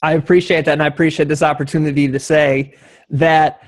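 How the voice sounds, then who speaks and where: A man's voice: a little high, little pitch movement, a little fast, dry recording, clean studio sound. A man moderating a discussion in an unscripted, encouraging and appreciative way. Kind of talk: spontaneous event moderation.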